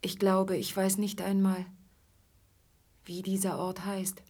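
An actress playing a weary, wary young musician in a radio drama, soft-voiced, reserved and plain-spoken. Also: female voice, mid-range voice, completely flat delivery, slow pace. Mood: subdued, weary. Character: weary, guarded young female café musician, ill-treated. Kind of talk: German radio drama acting.

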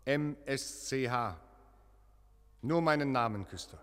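A man's voice: medium pitch, animated, slow pace, a bit bright. Radio play clergyman: mid-range, brooding and solemn, urgent short lines, reverberant stone space. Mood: brooding, anguished. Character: troubled, earnest Protestant clergyman visiting a foreign church. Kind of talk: German radio play acting. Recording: long echoing church-like reverb.

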